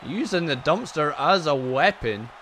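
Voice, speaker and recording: A man's voice: on the high side, animated, unhurried, a bit bright, a faint crowd, a little hiss or hum. A man giving casual, chatty play-by-play over a wrestling video game, joking and laughing at the action, his voice a little tired. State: voice getting tired. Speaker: gaming channel host.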